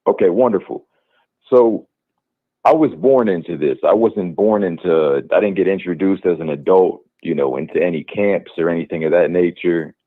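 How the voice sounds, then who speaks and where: Deep-voiced male caller on a phone line. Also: moderate pace, no echo. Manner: insistent, talks over the host. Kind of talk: call-in debate.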